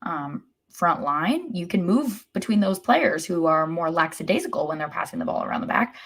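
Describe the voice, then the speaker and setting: Woman, slightly low pitch, wry and blunt, critical, casual sports podcast chat. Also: very animated, moderate pace, fairly bright tone. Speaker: podcast co-host.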